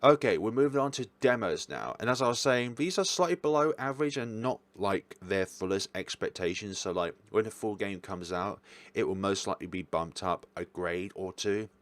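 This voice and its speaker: Male reviewer, low-pitched voice, informal and off the cuff, wanders and self-corrects. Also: animated, normal speed, no room sound. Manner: rambling, self-correcting, apologetic asides. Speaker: visual novel reviewer hosting own channel. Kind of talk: casual unscripted review chat to camera.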